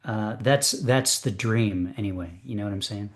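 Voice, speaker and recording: A man's voice: fairly low pitch, moderate pace. Guy hosting a poetry open mic on a video call, lots of uhs and ums. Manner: hesitant, lots of fillers, friendly. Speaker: host of open poetry reading. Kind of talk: casual hosting chat.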